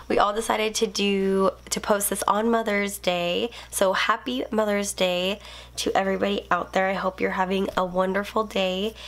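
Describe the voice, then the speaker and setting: A woman's voice: medium pitch, gravelly. A young woman, a planner YouTuber and mom, chatting casually at home while filming her desk from overhead, a bit sniffly and rambling with lots of ums. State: sniffly, unwell. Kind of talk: casual plan-with-me chat. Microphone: overhead camera, hands only.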